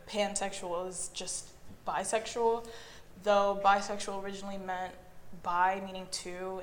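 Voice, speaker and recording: A woman's voice: medium pitch, echoey room, slight background noise. A woman giving an earnest interview answer and explaining her views carefully. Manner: earnest, explanatory, acts out others' remarks.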